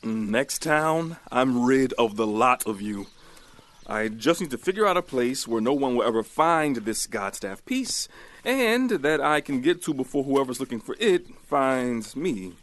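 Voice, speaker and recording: A man's voice: mid-range voice, expressive, a little slow, a bit bright. A man playing an earnest, exasperated priest in a fantasy audio drama, with a dry, disapproving, solemn delivery. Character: earnest, exasperated young male priest on pilgrimage.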